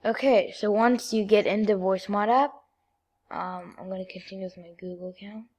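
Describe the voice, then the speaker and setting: A female-sounding speaker giving a casual, halting step-by-step software tutorial as a screen-recording voice-over. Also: medium pitch, steady tone, breathy edge. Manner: informal, halting step-by-step instructions. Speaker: video creator giving a tutorial.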